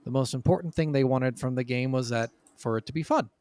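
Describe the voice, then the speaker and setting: A man co-hosting a casual gaming podcast, telling stories from his notes in an animated, chuckling way. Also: medium pitch, quick pace, dry recording. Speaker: podcast co-host.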